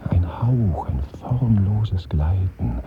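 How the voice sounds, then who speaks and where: Ein Schauspieler spricht in einem Hörspiel den inneren Monolog eines grüblerischen, morbid träumenden Mannes, bruchstückhaft und sinnierend. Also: male voice, deep voice, lively intonation, noisy background. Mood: dreamy, sensual. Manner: slow, fragmentary, musing. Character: brooding man in dreamy, morbid inner monologue. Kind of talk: literary radio play monologue. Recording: dull 1970s radio recording, music behind.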